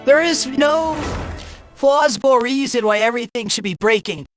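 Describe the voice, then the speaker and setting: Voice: mid-range voice, normal speed, dry recording. A game streamer talking casually on a livestream in a blunt, exasperated, grumbling way, through a voice changer. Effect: possible voice changer. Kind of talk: casual livestream gaming commentary. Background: game music.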